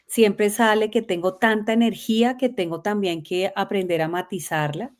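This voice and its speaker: A middle-aged Colombian woman, a guest telling her life story in a lively way, laughing often. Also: medium pitch, normal speed. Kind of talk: conversational interview.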